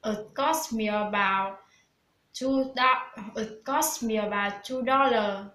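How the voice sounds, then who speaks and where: A woman with a somewhat high voice gives careful answers in a practice conversation. Each line comes slow and even, as if recited. The room adds a clear echo. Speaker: student. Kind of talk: scripted English speaking practice.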